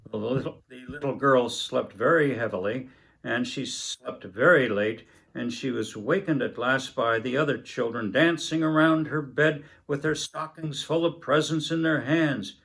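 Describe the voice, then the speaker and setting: An older man in his seventies reading a story aloud in a halting manner, pausing between phrases. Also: medium pitch, vocal fry, fairly bright tone, low-quality recording. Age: older, about 70s. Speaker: story reader. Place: indoors, book-lined room at a table.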